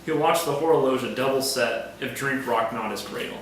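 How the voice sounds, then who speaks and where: An actor playing a scheming soldier who feigns honest concern, in declamatory Shakespearean stage style. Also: male voice, medium pitch, fairly quick, a little hiss or hum, lightly acted. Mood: confiding, sly. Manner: theatrical, declamatory. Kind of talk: classical Shakespearean stage acting. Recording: echoey hall recording, music behind.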